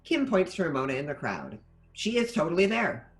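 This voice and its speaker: Lively, very varied male narrator. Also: on the high side, fairly quick. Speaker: narrator reading stage directions. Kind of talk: scripted narration.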